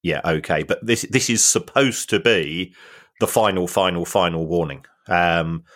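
Low-voiced man, halting dry chat. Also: fairly bright tone. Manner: halting, many restarts, dry humour. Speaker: football finance expert co-host. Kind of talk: casual podcast discussion.

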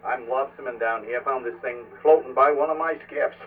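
A man's voice: medium pitch, moderate pace, close to an everyday voice. An actor playing a cocky, stubborn lobsterman with a folksy, drawling in a radio play. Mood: boastful, grumbling. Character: cocky, stubborn old-salt Maine lobsterman drinking in a bar. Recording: muffled phone-like line, far from mic.

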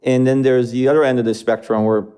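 A man in his thirties who grew up in Iran, answering interview questions in a measured way with frequent fillers. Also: mid-range voice, flat delivery, mellow, smooth. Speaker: streaming company executive.

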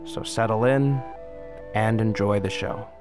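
A man's voice: lowish voice, a little slow. A man hosting a radio theater podcast, delivering a scripted introduction to the show. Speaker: podcast host. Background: loud music.

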